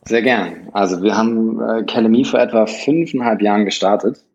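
A man from Hamburg, a startup founder, answering interview questions in a quick, hesitant way full of fillers and restarts. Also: on the low side, darkish tone. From Hamburg, Germany. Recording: dull lo-fi sound.

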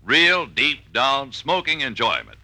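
Very bright, animated male radio pitchman. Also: takes it slowly. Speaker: commercial announcer. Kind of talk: 1940s radio advertising pitch.

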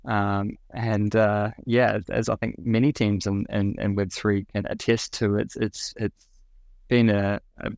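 A man's voice: fairly low pitch, flat delivery, measured pace, creaky, darkish tone, dry recording, clean studio sound. A young man with a New Zealand accent, a podcast guest explaining a product with many ums and restarts. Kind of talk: podcast interview.

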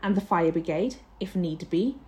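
A woman's voice: on the low side, moderate pace, a little mellow, close to an everyday voice. A woman voicing a malicious, triumphant housekeeper in a storybook, with a scornful, threatening manner. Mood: gloating.

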